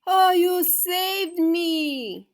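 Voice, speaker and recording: Woman voicing a loud, boastful prairie dog. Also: extremely high pitch, extremely slow, faint music behind, voice pushed up, heavily acted. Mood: grateful, sorry.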